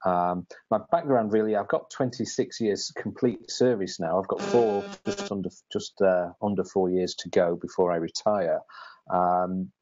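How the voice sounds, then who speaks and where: A man's voice: low-pitched, normal speed, a little mellow. A man in his forties, a police sergeant from the UK, speaking as an interview guest with frequent ums and restarts, on lo-fi call audio. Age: 40s. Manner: hesitant, with many ums and repeated words.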